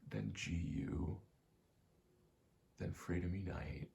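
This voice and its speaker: A soft-spoken man giving a hesitant, self-deprecating ASMR ramble. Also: low-pitched, steady tone, takes it slowly, vocal fry. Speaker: video game ASMR creator.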